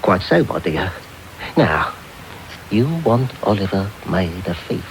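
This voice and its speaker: A sly old master of thieves in a radio play, with a voice that swoops widely from oily mutters to whining complaint. It comes through a clearly noisy medium-wave tape of a 1990s broadcast. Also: male voice, sits low, expressive, slow pace, heavily acted. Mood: scheming. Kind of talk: 1990s radio drama acting.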